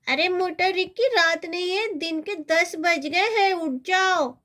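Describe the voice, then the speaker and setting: A woman's voice: very high-pitched, slow, a bit bright, full character voice. A woman voicing a mischievous, whiny young girl in a children's cartoon story. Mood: bossy.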